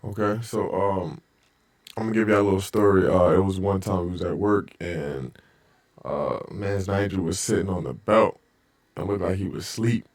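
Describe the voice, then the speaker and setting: Male podcast host with a very low voice; relaxed, slangy interviewer. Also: moderate pace. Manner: easygoing, slangy questions. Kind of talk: casual interview.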